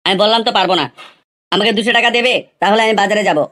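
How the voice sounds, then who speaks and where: A woman's voice: medium pitch, very fast, fairly bright tone, lightly acted. A woman voicing cheeky, brash young village lads in an amateur comedy cartoon, with slangy, crude and insistent talk. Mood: defiant.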